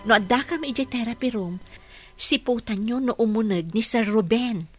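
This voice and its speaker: A woman plays a solicitous young caregiver in a radio drama, with a fairly high voice and an Ilocano Filipino accent. Her delivery is earnest, recorded close and dry. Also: moderate pace, a little mellow, no room sound, soft background music, natural voice. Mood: earnest, worried.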